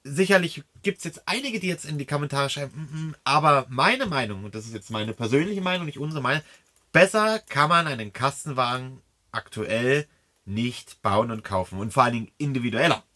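A man's voice: medium pitch, lively intonation, fairly quick, bright, forceful tone. A man co-hosting a camping vlog, chatting casually and enthusiastically from inside a camper van. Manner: enthusiastic, slangy, talks straight to viewers. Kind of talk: casual chat.

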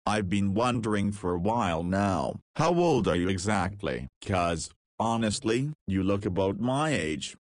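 A man's voice: lowish voice, no echo, clean studio sound. A man voicing a cheeky, easygoing nineteen-year-old in a visual novel, with casual, slangy speech. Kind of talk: visual novel character dialogue.

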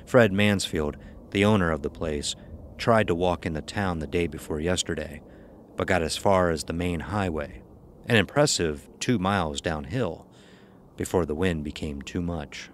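A man's voice: sits low, moderate pace, a little hiss or hum. A man giving scripted narration for a horror audio drama.

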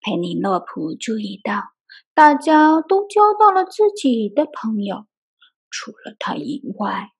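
A woman reading a children's picture book aloud in an expressive storytelling style. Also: on the high side, unhurried, breathy edge. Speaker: storybook reader and translator. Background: music.

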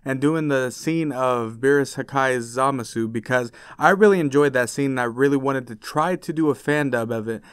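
A man's voice: mid-range voice, moderate pace, few pauses, smooth, no room sound, clean studio sound. A young man talking casually to viewers as an online video host. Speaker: YouTuber and amateur voice impressionist. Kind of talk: casual outro chat to viewers.

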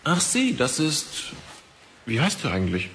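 Man playing a lively, cheeky young man. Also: a little high, measured pace, a little hiss or hum, exaggerated voice. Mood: startled, teasing. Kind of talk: audio drama acting.

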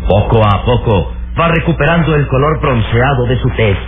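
A man giving solemn, measured dramatic narration for an old radio serial. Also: medium pitch, animated, a little hiss or hum, natural voice. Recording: tinny vintage radio, music behind.

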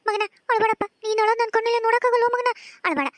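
A woman's voice: very high voice, rapid-fire, dry recording. A woman playing a doting widowed mother who pleads melodramatically. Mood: scolding. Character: doting widowed mother, fierce in defending her son.

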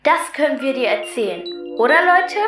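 A woman's voice: sits high, lively intonation, moderate pace, fairly bright tone, faint music behind. A woman playing a matter-of-fact, resigned captive girl from a child gang in a radio play, dry and blunt. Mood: urging. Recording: roomy echo.

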